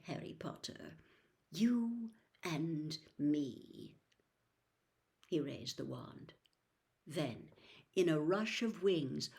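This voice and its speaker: A woman reading a storybook aloud as narrator, expressive and suspenseful, with dramatic pauses. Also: mid-range voice. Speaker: audiobook reader, host.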